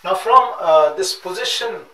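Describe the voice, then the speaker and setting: A man's voice: high-pitched, animated, sharp tone. Man, middle-aged, chalkboard lecture, methodical, repeats figures, roomy classroom sound, distant from mic. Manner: methodical, repeats numbers slowly while writing. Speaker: nautical science instructor. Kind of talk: chalkboard lecture, working through calculations. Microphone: room mic at a distance, back often turned. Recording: echoey room, off-mic, clear noise.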